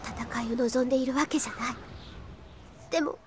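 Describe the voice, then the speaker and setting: A woman's voice: high-pitched, fairly even intonation, a little fast, background noise, natural voice. A woman playing an earnest, strong-willed young princess and pegasus knight in an anime audio drama. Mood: resolute. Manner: earnest, impassioned. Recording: studio drama with music behind. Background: music.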